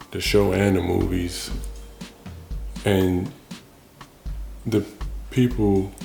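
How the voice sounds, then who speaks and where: A man's voice: low voice, creaky, dark tone, reverberant. A man giving a casual, reflective monologue in an informal, rambling way, repeating phrases for emphasis. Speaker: host of a personal talk show.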